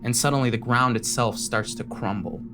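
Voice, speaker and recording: A man with a mid-pitched voice acts as game master for a tabletop fantasy session. He paints scenes descriptively, addressing the player directly, with music clearly playing underneath. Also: steady tone, fairly quick. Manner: vivid, descriptive storytelling in second person.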